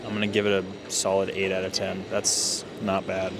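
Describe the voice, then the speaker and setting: A man's voice: low-pitched, completely flat delivery, normal speed, a crowd in the background. A young man with a North American accent, talking casually and narrating a travel vlog, with music behind him. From Canada and the USA. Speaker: vlogger.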